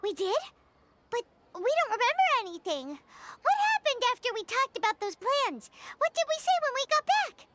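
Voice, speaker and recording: A woman voicing a small, chirpy, childlike fairy companion with an excitable manner in a video game. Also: moderate pace, very noisy. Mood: earnest. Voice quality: squeaky, childlike. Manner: refers to self in third person, exclamatory. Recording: loud music behind the voice.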